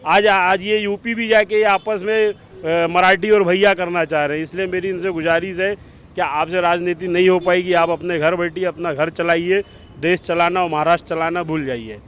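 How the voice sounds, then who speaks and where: Clear, high-pitched scolding man. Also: fairly even intonation, normal speed, a bit bright. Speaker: local man interviewed on the street. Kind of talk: street interview, opinion on politics. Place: outdoors in an urban area.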